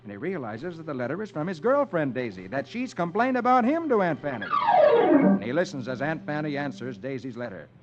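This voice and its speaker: A man's voice: a little high, huge pitch swings, brisk. A man narrating comic strips as a dramatic storyteller on a 1950s children's radio show. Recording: muffled old radio recording, music behind.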